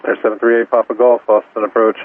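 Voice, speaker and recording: A man's voice: fairly low pitch, level tone, fast. A man working as an air traffic controller, giving clipped procedural instructions to aircraft over aviation radio. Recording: tinny narrowband radio with faint static.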